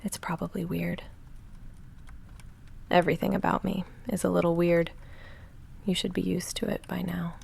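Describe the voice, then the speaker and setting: A woman playing an awkward, secretly affectionate young goth vampire roommate in an intimate ASMR roleplay, speaking softly and haltingly and often slipping into a whisper. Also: full character voice. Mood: shy, tender. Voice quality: soft, breathy whispering mixed with low murmured talk. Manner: halting, deadpan, self-conscious rambling.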